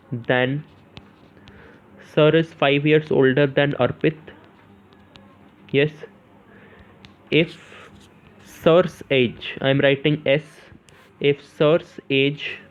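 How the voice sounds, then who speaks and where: A young man with an Indian accent gives an informal step-by-step maths lesson, asking questions and answering them himself, often repeating phrases and checking in with short confirmations. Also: fairly high pitch. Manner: repeats phrases, punctuates with "yes" and "okay". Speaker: maths tutor. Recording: narrow, tinny phone-like sound.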